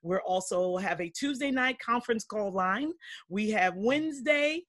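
A woman's voice: mid-range voice, expressive, a little slow, no room sound. Middle-aged woman, church host on a video call, dry close sound, eager and affirming. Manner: warm, enthusiastic, frequent affirmations. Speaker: interviewer, church member. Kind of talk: casual church interview. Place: home room with teal wall.

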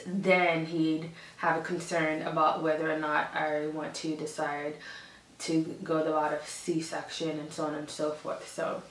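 A woman's voice: fairly low pitch, fairly bright tone, slight background noise. A woman in her thirties chatting to the camera in a casual update from her home office, giggling often and correcting herself. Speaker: vlogger. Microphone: camera across the desk. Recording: echoey room, mic a bit far.

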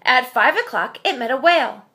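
A woman in her thirties reading a children's picture book aloud at home in front of a webcam, expressive and slowing for suspense. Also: high-pitched. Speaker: storyteller. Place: home room with shelf.

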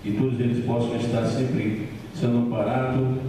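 A man's voice: mid-range voice, little pitch movement, moderate pace, noisy background. A man leading a spoken prayer in church, calling out "O God" and "O Father" through each line. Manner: fervent, repeats "ó Deus" and "ó Pai" between every phrase. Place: large church hall. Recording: echoey church hall from far off.

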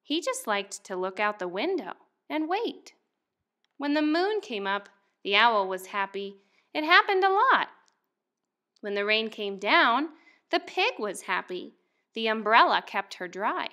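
A young adult woman, a first grade teacher, speaking expressively and clearly to young children as in a picture book read-aloud. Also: a little high, very animated, a little slow, sharp tone.

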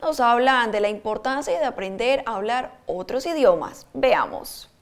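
A young woman news presenter reading a crisp scripted introduction in a TV studio. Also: on the high side, animated, normal speed.

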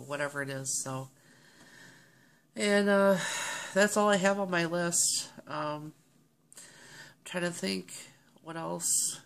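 A woman in her thirties chatting casually to her webcam, sounding nasal and stuffy from a cold, rambling with many ums and pauses. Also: low-pitched, a bit bright, a little hiss or hum. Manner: rambling, friendly, with many ums and throat clearing. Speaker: vlogger. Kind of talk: casual chat to camera. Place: small home office, indoors. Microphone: webcam at desk.

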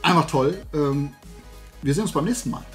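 A man's voice: a little high, brisk, faint music behind. A man, chatting to camera in a casual, opinionated, rambling and emphatic way as a video host. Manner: animated rambling, colloquial, emphatic. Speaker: YouTube host of a toy collecting channel. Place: small indoor room lined with shelves of toys.

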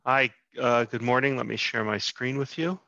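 A man's voice: medium pitch, steady tone, normal speed, gravelly, pushed and bright, dry recording. A man in his sixties opening a presentation on a video call through a headset, easygoing with fillers. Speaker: guest speaker. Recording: muffled video call audio.

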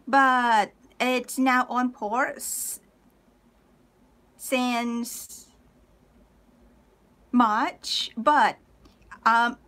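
A woman in her thirties teaching a live online language lesson from a small room, cheerful and laughing often as she reads out viewers' comments and repeats words slowly. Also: on the high side, a bit bright. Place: small room indoors, plain wall.

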